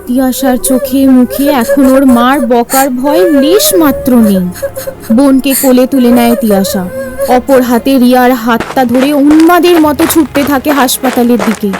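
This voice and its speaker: A woman with a high voice narrates a scripted story. Music plays clearly underneath her reading. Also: measured pace.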